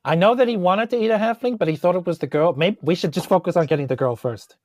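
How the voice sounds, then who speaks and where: A woman's voice: on the low side, fast, darkish tone, no echo, clean studio sound. A woman with a New Zealand accent chats casually as a player in a tabletop role-playing game. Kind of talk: casual improvised game chat.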